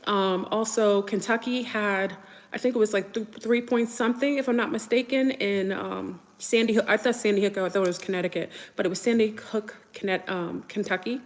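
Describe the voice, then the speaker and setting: A woman's voice: medium pitch, steady tone, normal speed, long reverb tail. A woman whose first language is French, chatting casually and rambling with viewers on a livestream, full of fillers and restarts. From United States. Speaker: livestream host.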